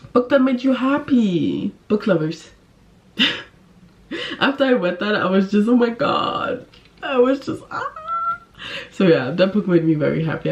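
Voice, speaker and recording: A woman's voice: medium pitch, lo-fi sound. Gushing, giggly woman chatting about favorites. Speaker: book vlogger. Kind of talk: casual chat to camera.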